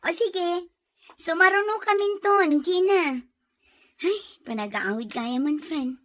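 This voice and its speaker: A woman's voice: very high voice, slow, a bit bright, heavily acted. A woman acting a sincere, stubborn young working woman in an audio drama, with an earnest, emotive delivery. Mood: friendly.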